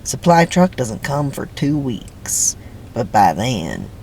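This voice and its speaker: A man voicing a stern, menacing prison camp boss in his forties, taunting with drawn-out, swooping commands in a dramatic script reading, with background noise. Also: mid-range voice, huge pitch swings, vocal fry, darkish tone, heavily acted. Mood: gruff, commanding.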